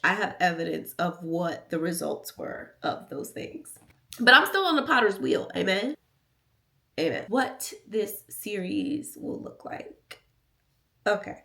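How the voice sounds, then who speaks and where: Creaky-voiced American woman, candid and playful. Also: medium pitch, big pitch swings, unhurried, bright, forceful tone. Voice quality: slightly raspy and nasal. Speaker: vlogger. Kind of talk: casual confessional vlog chat.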